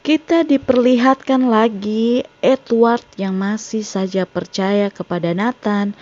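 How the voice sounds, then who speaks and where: A woman's voice: medium pitch, darkish tone, highs cut off. A woman reading a scripted narration that recaps a film's story. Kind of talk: scripted narration of a film plot. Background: background music.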